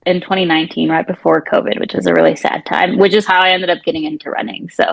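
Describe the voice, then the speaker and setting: A woman's voice: on the low side, flat delivery, fast talker, vocal fry, no room sound, rough recording. Chatty joking woman from Houston. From Houston, USA. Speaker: guest, marathoner and physical therapist. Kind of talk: casual interview chat.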